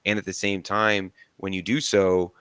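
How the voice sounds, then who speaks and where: Brief: man, host, very low voice, measured and reflective, dry close sound. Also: level tone, fairly bright tone, clear, resonant tone, no room sound. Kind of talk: conversational interview.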